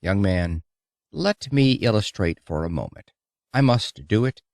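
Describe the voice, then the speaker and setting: A man narrating an audiobook of an old motivational lecture, reading it in an expressive, oratorical way. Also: on the low side, unhurried, creaky, no echo, studio quality.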